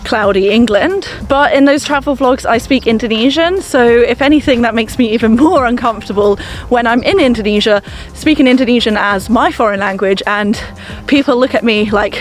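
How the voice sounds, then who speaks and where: A woman's voice: fairly high pitch, fairly quick, soft background music. A young woman talking to camera in a casual vlog, chatty and self-mocking. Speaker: vlogger and online English teacher.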